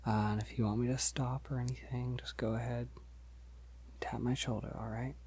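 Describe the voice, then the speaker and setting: A man playing a polite, gentle, shy vampire in an ASMR roleplay, speaking softly and hesitantly to reassure the listener, often near a whisper. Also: medium pitch, level tone, husky, close to an everyday voice. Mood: gentle, reassuring. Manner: hesitant, reassuring, halting with soft chuckles.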